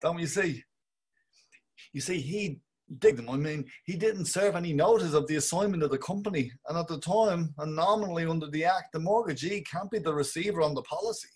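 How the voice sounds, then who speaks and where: A man playing a husky, fussy, hedging Bloom. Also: fairly high pitch, a little fast. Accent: Irish. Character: fussy, hedging middle-aged Bloom, polite. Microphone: video call webcam.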